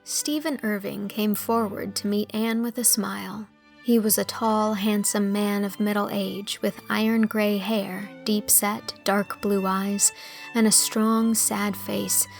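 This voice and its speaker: A woman's voice: mid-range voice, fairly even intonation, measured pace, vocal fry. A woman narrates a storybook in a mid-range voice, with music under her reading. The delivery is scripted narration, carried in full literary sentences. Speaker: audiobook narrator.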